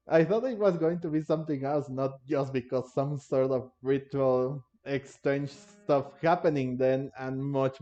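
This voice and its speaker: A man's voice: medium pitch, dark tone. A man giving casual, rambling let's play commentary, often repeating himself. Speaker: gaming video creator. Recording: dull, lo-fi microphone. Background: game music.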